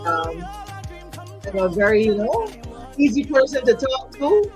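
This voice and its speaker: A woman from Barbados, a singer and entrepreneur, talking in an animated, casual chat full of "you know". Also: a little high, a little slow, music in the background.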